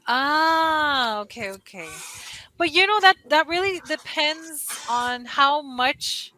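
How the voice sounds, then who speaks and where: A woman's voice: sits high, expressive, takes it slowly, bright, forceful tone, no echo, rough recording. A woman with a Californian accent, chatting casually on a video call as an online English tutor and explaining patiently. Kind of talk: casual conversation lesson. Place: lives in Costa Rica.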